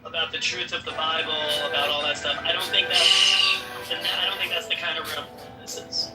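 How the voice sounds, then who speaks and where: A man with a slightly high voice calmly steers a chat room conversation back on track. He sounds off-mic in a roomy space, with loud music playing behind him. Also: normal speed, distant mic.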